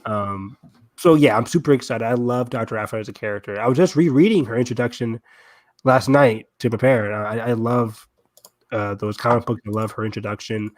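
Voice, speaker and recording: A man's voice: medium pitch, fairly quick, no echo. A man co-hosting a podcast in casual, gushing fan discussion, speaking with open enthusiasm. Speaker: podcast co-host.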